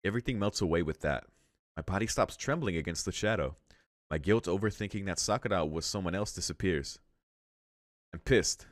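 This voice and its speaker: A man reading a visual novel aloud as a let's play host, in measured narration with casual, slangy asides. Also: low voice, no echo, studio quality. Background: music.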